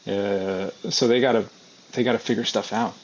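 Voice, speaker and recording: A man in his thirties from Brazil, a podcast guest talking casually about running a repair shop, relaxed and repeating himself, often saying "man". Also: lowish voice, normal speed, highs cut off, slight background noise. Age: 30s. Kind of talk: casual conversation.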